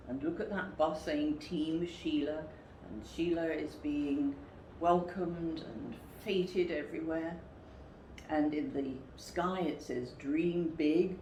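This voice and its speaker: A woman reading a picture book to children as a storyteller, with deliberate, dramatic emphasis. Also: low voice, darkish tone. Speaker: storyteller, teacher. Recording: roomy echo, faint background noise.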